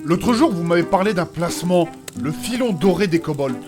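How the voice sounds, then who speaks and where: A man's voice: high voice, animated, moderate pace. A man playing a prim, earnest travelling companion in a comic fantasy audio drama, formal, polite and reproachful. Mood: scandalised. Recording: music behind the voice.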